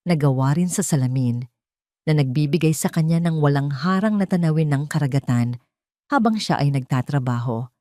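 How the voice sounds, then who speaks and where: Low-pitched woman narrating a story. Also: dark tone, no echo, clean studio sound. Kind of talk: scripted dramatic story narration.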